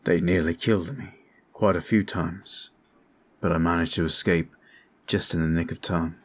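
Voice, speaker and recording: A man voicing a brooding male vampire in an ASMR roleplay, talking in a slow, intimate, confiding way. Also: deep voice, steady tone.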